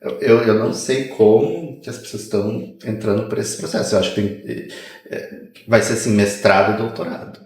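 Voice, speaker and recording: A Brazilian man chatting casually as a podcast guest, speaking haltingly and dropping in English words. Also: fairly low pitch, a little mellow. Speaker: guest immigration consultant. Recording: very echoey room.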